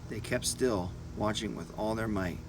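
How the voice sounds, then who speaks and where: A man narrating a first-person adventure story as an audiobook reader, in short deliberate phrases. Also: lowish voice, background noise.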